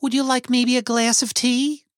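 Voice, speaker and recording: A man voicing a sharp-tongued, indignant old immigrant wife in a Yiddish-inflected American accent, full of querulous questions, for a story reading. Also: very high voice, level tone, moderate pace, dry recording, studio quality, pitched above the performer's own voice. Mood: indignant, sarcastic. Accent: Yiddish-inflected American English.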